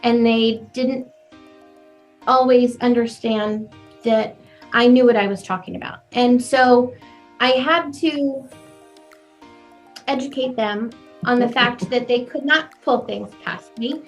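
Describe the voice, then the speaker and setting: A chatty woman florist in her forties tells her story with a young-sounding, slightly high voice. She talks in an animated, easy way. Soft music plays behind her. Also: takes it slowly, pauses often. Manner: chatty and animated, laughs easily. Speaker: florist and business owner. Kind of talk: conversational interview.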